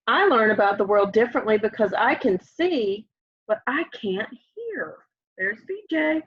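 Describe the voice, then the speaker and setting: A woman's voice: a little high, slightly rough. A woman reads a children's book aloud expressively at home, speaking simply as if to young children. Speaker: storybook reader. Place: room at home, by a window. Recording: slightly dull, lo-fi home webcam sound.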